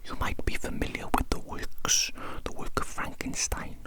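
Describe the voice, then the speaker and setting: Whispering, doting evil doctor in ASMR roleplay. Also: normal speed. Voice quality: breathy unvoiced whisper. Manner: doting, repeats words and phrases. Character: chaotic evil mad doctor, fussing and doting. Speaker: ASMR performer.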